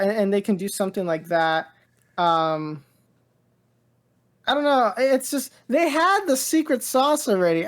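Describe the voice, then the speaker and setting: A woman co-hosting a casual pop culture podcast, rambling and halting as she thinks aloud. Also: mid-range voice. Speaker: podcast co-host.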